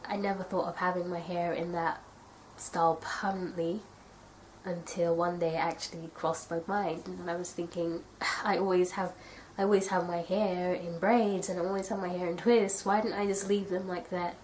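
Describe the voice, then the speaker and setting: A young woman from the UK chatting casually to camera in a tag video, her nose stuffy from a cold and her voice a little raspy. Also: on the low side, flat delivery, moderate pace, darkish tone, slight background noise, rough recording. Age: young adult. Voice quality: slightly raspy. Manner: chatty, thoughtful, rambling with fillers. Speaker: vlogger.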